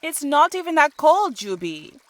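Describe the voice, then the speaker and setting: A woman's voice: sits high, big pitch swings, measured pace, fairly bright tone, pitched above the performer's own voice, heavily acted. A woman voicing a young woman dancer in an audiobook, a playful, determined elder sister with an emphatic way of talking. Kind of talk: audiobook character dialogue.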